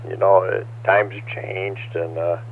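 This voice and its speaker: An older man chatting over a ham radio net, hoarse from a cold, talking haltingly with many fillers. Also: low voice, little pitch movement. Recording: tinny two-way radio transmission with faint hum.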